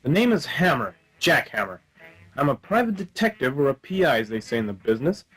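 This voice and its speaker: A man's voice: mid-range voice, fairly quick, husky, soft background music. Man acting as wisecracking hard-boiled 1940s private eye. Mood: wry, deadpan. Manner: deadpan, wry. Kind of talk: 1940s detective radio play narration.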